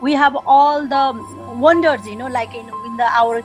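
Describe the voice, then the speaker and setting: A woman from Nepal with a Nepali accent, a guest in a casual interview, speaking haltingly with many fillers as she searches for words. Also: sits high, fairly even intonation, faint music behind.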